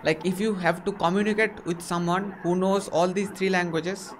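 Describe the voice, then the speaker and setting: A man with a slightly high voice asks short, casual questions, often stopping to rephrase. English is not his first language. Faint background noise is heard behind him. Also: steady tone, moderate pace. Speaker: interviewer. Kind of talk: casual conversation.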